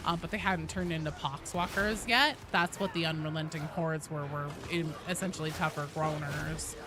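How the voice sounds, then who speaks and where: A woman's voice: low-pitched, fairly even intonation, moderate pace, background noise. A woman streaming a game, casually explaining lore in a rambling, informal way with some swearing.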